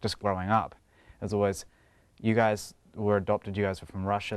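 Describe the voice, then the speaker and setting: Young man, New Zealand accent, low-pitched, smooth clear voice, dry close sound, chatty with frequent laughs. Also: no echo. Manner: chatty, laughs often, restarts phrases. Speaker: interviewee. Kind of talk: casual TV interview.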